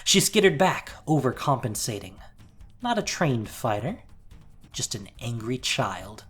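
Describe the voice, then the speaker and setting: A woman narrating fantasy fiction in a deliberate, theatrical and intense way. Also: extremely low pitch, big pitch swings, husky, soft background music.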